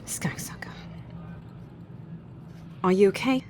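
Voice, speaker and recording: A woman's voice: slow, in a whisper, close to an everyday voice. A woman playing a bold, challenging activist. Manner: assertive, challenging. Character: bold woman confronting a police officer.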